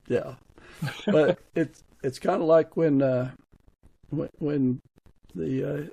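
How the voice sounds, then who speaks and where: A man hosts a podcast in a casual, conversational way. His voice sits a little high for a man, with a husky, crackly grain, and the recording is close and dry. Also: warm tone, no echo. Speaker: podcast host.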